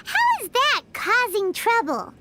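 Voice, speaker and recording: Small floating game companion, high squeaky voice, sing-song swoops. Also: female voice, wildly swooping pitch, takes it slowly, noisy background, exaggerated voice. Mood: curious. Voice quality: high, bubbly, refers to herself by name. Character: tiny floating female companion, chirpy and excitable. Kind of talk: video game voice acting. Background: game music.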